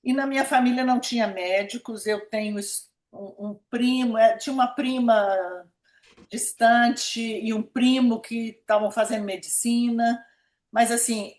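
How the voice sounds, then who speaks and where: A woman's voice: on the high side, fairly even intonation, measured pace, a little mellow. A woman of about seventy with a Brazilian Minas Gerais accent, recalling her life in a conversational interview and repeating words for emphasis. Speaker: interviewee, pediatric nephrologist. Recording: echoey room sound.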